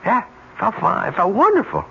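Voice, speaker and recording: Unsettled man, wildly swinging pitch. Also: huge pitch swings, vocal fry, sharp tone, exaggerated voice. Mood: giddy, intense. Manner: halting, stammering, repeating himself. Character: meek nervous man turned giddy, menacing killer. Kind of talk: radio mystery drama acting. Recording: dull, noisy old radio broadcast.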